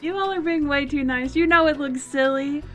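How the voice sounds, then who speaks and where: A woman's voice: high voice, moderate pace, soft background music. A woman with a faint Southern US accent, chatting casually in a playful, self-conscious way. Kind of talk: casual chat.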